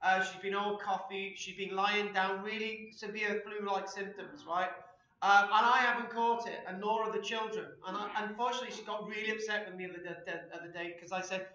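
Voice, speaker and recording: A man's voice: high voice, fairly even intonation, fairly quick, pushed and bright, distant mic. A man in his forties giving a chatty, rambling archaeology talk with slides, full of ums and asides, recorded on a laptop webcam in a small room. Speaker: archaeology presenter. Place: small room with a projector screen. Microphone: laptop webcam below him. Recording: echoey room, dull lo-fi laptop audio.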